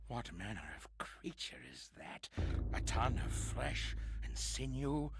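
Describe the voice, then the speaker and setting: Halting, deranged horror-stricken raver, noisy audio. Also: male voice, medium pitch. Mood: horrified awe. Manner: theatrical, halting, swinging between whispers and shrieks. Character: deranged, terrified man raving about monstrous horrors. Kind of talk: gothic horror game monologue.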